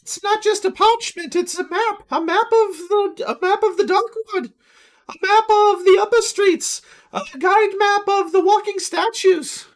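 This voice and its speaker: A man's voice: very high-pitched, a little slow, voice pushed up. A man voicing a pushy male street merchant with a put-on Cockney accent and salesman patter. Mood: hard sell.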